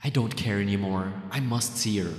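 A man's voice: on the low side, normal speed, darkish tone, lightly acted. A man playing an obsessive, lovesick young ex-boyfriend in an ASMR roleplay monologue, anxious, possessive and agonized. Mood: desperate, longing. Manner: brooding, agonized, self-questioning. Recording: reverberant echoey voice over music. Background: music.

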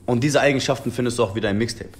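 A man's voice: mid-range voice, very fast. A young man in his twenties from Germany, a rapper talking earnestly over a phone video call, calling the interviewer brother again and again. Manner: earnest, repeats "Bruder" often. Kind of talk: casual video-call chat. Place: indoor room at a table.

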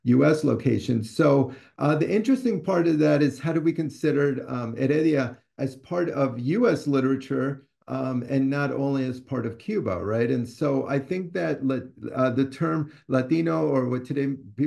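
A man's voice: medium pitch, normal speed, creaky, dark tone. A man in his sixties from Ecuador, an author answering questions on a video call from a study, thoughtful and hesitant with many fillers and restarts. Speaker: author and scholar. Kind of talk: conversational talk on a video call. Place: home study with bookshelves. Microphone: video call webcam.

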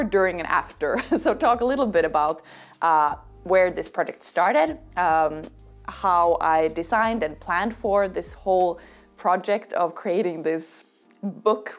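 A woman in her thirties from Finland whose first language is Swedish, chatting casually to camera in her living room about making a knitting book, relaxed, with frequent fillers and light laughs. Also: medium pitch. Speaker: knitwear designer and vlogger. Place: bright living room at home.

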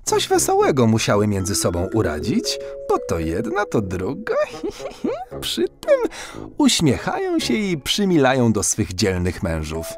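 A man narrating a fairy tale for children in an animated, theatrical way. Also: fairly low pitch, a little slow, music in the background. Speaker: storyteller.

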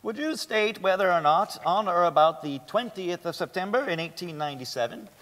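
Man playing formal high-voiced defence lawyer. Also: lively intonation, a bit bright. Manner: formal, deliberate recitation. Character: formal, methodical male defence lawyer of the 1890s. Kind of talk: dramatic stage reading. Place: large theatre stage, indoors. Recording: echoey theatre hall.

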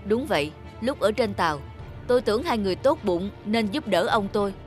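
An earnest, devoted grown grandson. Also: male voice, sits high, animated, brisk, soft background music. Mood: indignant. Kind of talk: cartoon voice acting.

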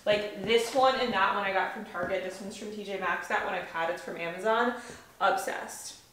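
A woman's voice: mid-range voice, moderate pace, a bit bright. Brief: chatty, bubbly woman in her twenties, casual home vlog, echoey room, faint hiss. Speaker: lifestyle vlogger. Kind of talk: casual vlog chat to camera. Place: small apartment, indoors. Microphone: camera mic, handheld or set on a tripod.

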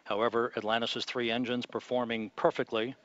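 A male launch commentator speaks in a measured, calm announcer voice at a middling pitch. The broadcast audio is dry and slightly dull. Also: dry recording. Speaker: launch commentator at mission control.

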